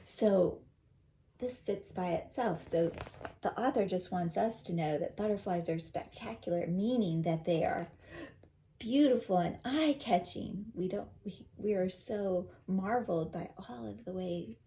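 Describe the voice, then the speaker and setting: A woman teacher reading a children's picture book aloud and pausing to explain it in simple words. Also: medium pitch, warm tone, a little hiss or hum.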